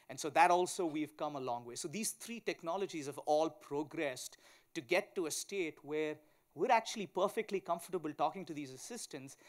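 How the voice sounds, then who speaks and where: A man with an Indian accent explaining technology step by step as a guest speaker at a conference, often checking in with a tag question. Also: fairly high pitch. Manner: explains step by step with examples, often tags "right?". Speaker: tech company chief executive, conference guest speaker. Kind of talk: explanatory conference interview talk. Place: live on stage at a conference.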